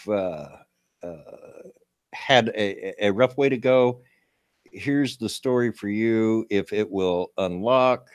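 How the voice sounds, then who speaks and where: A man in his late fifties with a low, gravelly voice hosts a casual livestream. He rambles in a folksy way, restarting his sentences as he reads out local news. The sound is close and dry. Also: no room sound. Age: 50s. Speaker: show host.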